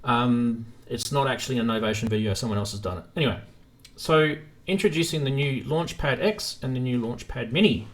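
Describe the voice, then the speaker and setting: A man's voice: medium pitch, a little fast, few pauses. A man hosting a live stream in a casual, informal chat, thinking aloud as he goes.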